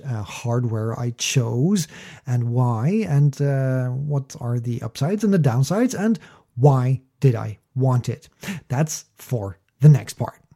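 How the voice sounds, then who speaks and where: Man with a very dark, mellow voice. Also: medium pitch, slightly rough. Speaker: host, small business owner. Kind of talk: casual monologue explainer.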